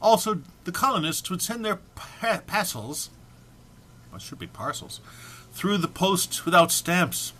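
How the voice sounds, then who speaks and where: A man's voice: high voice, big pitch swings, a little slow, bright, forceful tone. A man giving an amateur, theatrical dramatic reading of a humorous text, prone to amusement and stumbles. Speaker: amateur reader.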